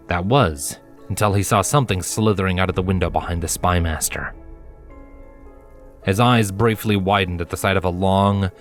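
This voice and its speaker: A man reading fan fiction aloud as a dramatic, scripted story narrator. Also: sits low. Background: background music.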